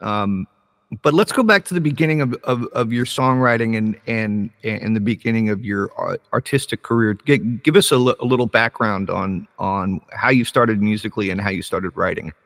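A man hosting a casual interview podcast, enthusiastic and halting, with many restarts. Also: fairly low pitch, creaky, a bit bright, big-room sound. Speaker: podcast host.

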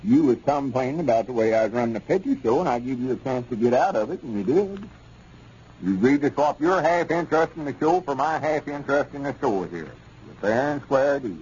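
Through a muffled, slightly noisy 1930s radio recording comes a man playing a self-justifying country businessman. He has a mid-pitched male voice and a rural Southern accent. His manner is folksy and argumentative, in old radio comedy style. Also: expressive, fairly quick, darkish tone, lightly acted. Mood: stubborn. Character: stubborn, self-justifying small-town male business partner.

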